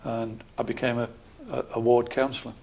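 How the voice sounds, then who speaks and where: A man in his sixties with a Geordie accent, a local councillor calmly answering interview questions in a measured, matter-of-fact way. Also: medium pitch. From Scotland, raised in Newcastle area. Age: 60s.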